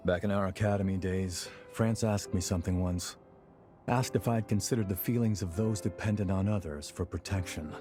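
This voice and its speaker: A man acting a deep-voiced, world-weary game warrior. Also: lightly acted. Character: world-weary, loyal male revenant warrior searching for lost friend.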